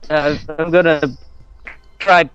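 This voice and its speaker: A man's voice: a little high, little pitch movement, slow, a bit bright, clear, resonant tone, no room sound, muffled recording, faint music behind. A man playing a tabletop game, chatting casually and hesitantly as he thinks aloud.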